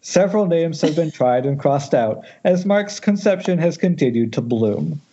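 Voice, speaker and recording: Casting: male narrator for screenplay directions, husky, wry and informal, muffled internet-call sound. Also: a little high, animated, normal speed, mellow. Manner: wry, jokey ad-libs. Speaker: narrator reading stage directions. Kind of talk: loose, informal script narration at a table read.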